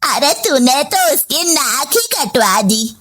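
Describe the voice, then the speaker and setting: Woman doing a bossy older saas in a family story, really slow nagging, high pitched. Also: animated, a bit bright, full character voice. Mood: aggrieved. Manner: scolding, complaining, drawn-out. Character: domineering, demanding older mother-in-law, sharp-tongued and partial. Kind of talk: story voice acting.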